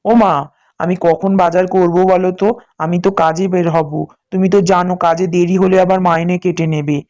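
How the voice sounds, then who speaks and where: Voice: medium pitch, level tone, moderate pace, a little mellow, no room sound, lo-fi sound, lightly acted. An actor playing a dutiful grown son in a village cartoon family drama, affectionate and persuasive. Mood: pleading.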